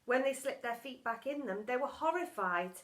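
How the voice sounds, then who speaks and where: Bright, high-voiced woman reading a story. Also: expressive, moderate pace. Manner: dramatic, emphatic storytelling. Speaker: primary school story reader. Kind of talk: expressive children's storybook narration.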